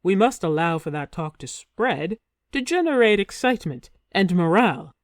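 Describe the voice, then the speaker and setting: A narrator voicing a scheming young king, smooth and persuasive. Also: mid-range voice, sing-song, normal speed, no echo, clean studio sound, natural voice. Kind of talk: audiobook character voice.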